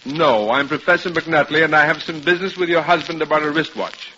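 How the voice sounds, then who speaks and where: Pompous, animated professor, old radio. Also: male voice, on the high side, brisk, gravelly, muffled recording, heavily acted. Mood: stiffly polite. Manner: pompous, haughty, elaborate wording. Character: pompous, indignant middle-aged male English professor. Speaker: lead actor in a radio comedy. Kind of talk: old-time radio sitcom acting. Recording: noisy, band-limited vintage radio recording.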